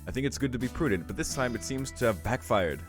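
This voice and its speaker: A man voicing a brisk, scolding comic teacher from a visual novel, lecturing in a mock-serious way. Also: medium pitch, expressive, soft background music, natural voice. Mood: brisk, dismissive.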